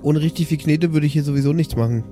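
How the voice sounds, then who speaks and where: A man's voice: a little high, fast talker, dark tone, faint music behind, natural voice. A man playing an impatient, blunt, money-grubbing superhero in an improvised role-play audio drama. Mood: greedy, indifferent.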